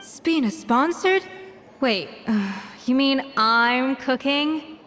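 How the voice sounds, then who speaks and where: A woman's voice: sits high, animated, takes it slowly, fairly bright tone, in a large hall, slight background noise, full character voice. A woman voicing a proud, exacting young master chef in a video game, with a self-assured, dramatic manner. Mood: taken aback.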